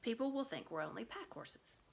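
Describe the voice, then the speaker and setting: A woman's voice: fairly high pitch, animated, normal speed, vocal fry, voice pushed up. Woman voicing a meek, earnest talking mare. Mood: tentative. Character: humble, gentle, sensible talking mare.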